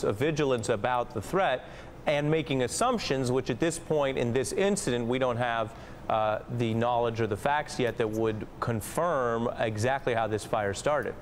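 Man, news anchor, studio, lapel mic, measured questions, slight background hiss. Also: medium pitch. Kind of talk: live cable news anchoring.

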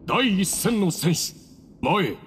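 High-pitched, solemn ceremony master. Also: male voice, big pitch swings, slow pace, background noise, exaggerated voice. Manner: formal, reverent, declamatory. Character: solemn male master of a sacred duel ceremony. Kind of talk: video game ceremonial announcement. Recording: echoing hall, faint music behind.

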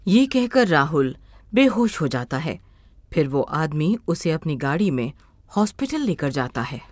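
A woman's voice: low-pitched, very animated, unhurried, dry recording. A woman narrating a scripted moral story as its storyteller.

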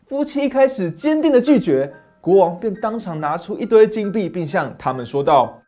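A man's voice: sits high, expressive, normal speed, warm tone, faint music behind. Dramatic middle-aged male storyteller. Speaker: storyteller host. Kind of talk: scripted fairy-tale narration. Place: small dim study set.

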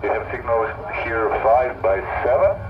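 A man's voice: mid-range voice, lively intonation, measured pace. A man from Slovenia answering a CB radio call, speaking in formal radio phrasing over a long-distance link. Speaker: radio contact. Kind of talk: CB radio exchange. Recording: noisy tinny radio transmission.

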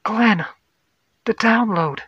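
A man's voice: sits high, extremely slow, slightly rough, no room sound, dull audio, voice pushed up, full character voice. A man voicing an anxious woman science officer, urgent and explanatory, in a sci-fi horror audio story. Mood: alarmed.

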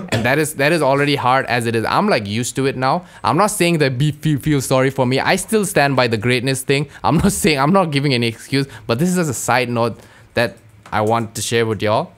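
A podcast co-host chatting casually, a man with an ordinary middle-pitched voice. He stammers and repeats words, circling back to finish his thought. His sentences come out in stops and restarts. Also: lively intonation, fairly quick, fairly bright tone. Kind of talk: casual chat.

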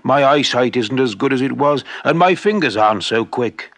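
A man voicing an old, patient shoemaker in a storybook, speaking slowly and softly. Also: medium pitch, animated, no room sound. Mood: sad.

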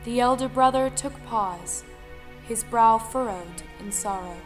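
A woman narrating a scripted folktale in a measured, dramatic style. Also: on the high side. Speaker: storyteller. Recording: music under the voice. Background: music.

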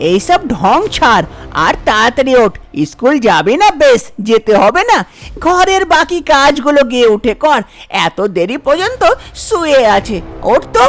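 A harsh, impatient village stepmother, played by a woman in a cartoon tale. She nags and orders in a bossy way, her pitch swinging widely, over faint music. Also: sing-song, moderate pace. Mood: scolding. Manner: nagging, bossy, dismissive. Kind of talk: cartoon story voice acting.

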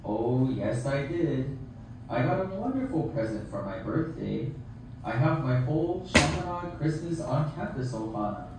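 A man voicing a kind, fatherly Jesus puppet in a children's puppet show, gentle, encouraging and deliberate. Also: mid-range voice, big pitch swings. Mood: grateful, warm. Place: theatre, behind puppet stage curtain. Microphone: far camera. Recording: very echoey hall from far off, noisy.

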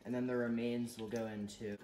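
A man's voice: mid-range voice, level tone, brisk, background noise. Joking teenage boy in an echoey kitchen. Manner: sarcastic, jokey, playfully enthusiastic about gross food. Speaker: co-host cooking. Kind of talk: mock cooking show. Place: home kitchen, indoors. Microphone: camera across the counter. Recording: echoey kitchen, clattering pots.

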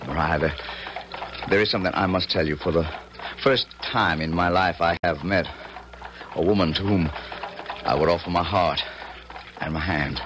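Actor as a low-voiced, courtly colonel. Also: male voice, natural voice. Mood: earnest, smitten. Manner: halting, formal. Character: earnest, awkward, courtly colonel in love. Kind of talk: 1970s radio drama acting. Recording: noisy 1970s radio recording.

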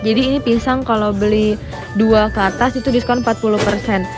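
A woman's voice: fairly high pitch, fairly even intonation, a little fast. A young woman chatting casually as she films a shopping vlog, upbeat and explaining things as she goes. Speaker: vlogger. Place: supermarket, then home dining room. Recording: background music.

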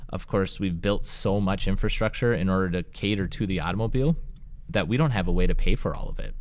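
Deep-voiced man explaining city planning. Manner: measured, thoughtful, explanatory with frequent fillers. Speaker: city planning director, co-host. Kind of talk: casual policy discussion.

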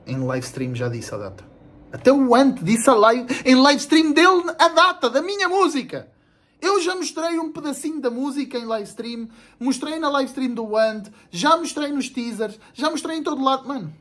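A young man with a European Portuguese accent making a casual, slangy vlog announcement to camera, blunt and animated, repeating himself for emphasis. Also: very high-pitched. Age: young adult. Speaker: video creator and musician. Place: small room indoors at home.

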